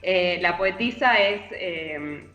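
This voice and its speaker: A woman's voice: fairly low pitch, slow pace, fairly bright tone, lo-fi sound, soft background music. An Argentine woman giving informal literary commentary as a radio columnist, congested, wandering and correcting herself as she goes. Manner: discursive, self-correcting. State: congested with allergy or flu. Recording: roomy echo.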